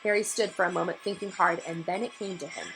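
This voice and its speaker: Bright-voiced woman reading a story aloud. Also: on the low side, fairly even intonation, quick pace, creaky, faint music behind, low-quality recording. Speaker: read-aloud narrator. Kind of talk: expressive storybook narration.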